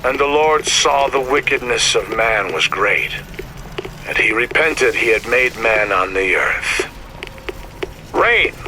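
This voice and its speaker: An actor playing a zealous, menacing older prophet in a video game, preaching in pompous, theatrical oratory with dramatic pauses. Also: male voice, mid-range voice, echoey room, long reverb tail, recorded from a distance, background noise. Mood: grave preaching. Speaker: main antagonist.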